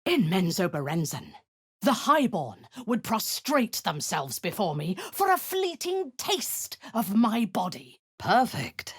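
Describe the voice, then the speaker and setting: A woman playing a cruel, haughty drow cult commander in a video game, with a breathy, husky voice and a commanding, menacing manner. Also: mid-range voice, big pitch swings, slow, full character voice. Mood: zealous, haughty. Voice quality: breathy, husky, often dropping to a whisper. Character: imperious, cruel female drow cult commander, seductive and zealous.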